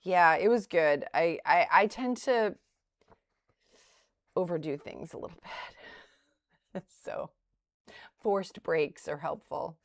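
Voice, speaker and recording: A woman's voice: on the low side, expressive, slow pace, pauses often. A middle-aged woman with a light Boston accent, hosting a live day-trading stream and thinking aloud with hesitant restarts and laughing asides. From Boston area. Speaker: day trader livestream host. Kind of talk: live commentary while trading.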